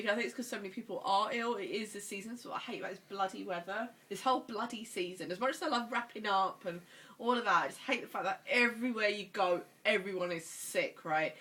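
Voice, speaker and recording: Chatty woman with a stuffy cold. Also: mid-range voice. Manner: chatty, animated. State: congested with a cold. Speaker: vlogger. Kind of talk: casual chat.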